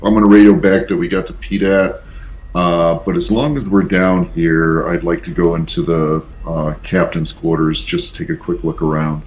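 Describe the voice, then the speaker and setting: A man's voice: sits low, fairly even intonation, normal speed, slight background noise. A man playing a tabletop role-playing game, talking casually as he states actions and checks rules.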